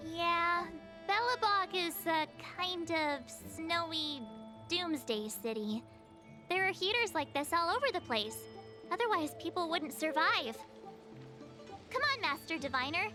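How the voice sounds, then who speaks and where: A woman voicing a perky, expressive teenage girl adventurer in a video game, bubbly and exclamatory. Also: very high-pitched, measured pace, full character voice. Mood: chatty, teasing. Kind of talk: animated game voice acting. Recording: loud game music behind.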